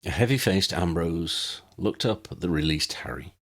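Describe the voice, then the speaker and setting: A man's voice: deep voice, lively intonation, normal speed, fairly bright tone. A man reading scripted story narration as an audiobook narrator.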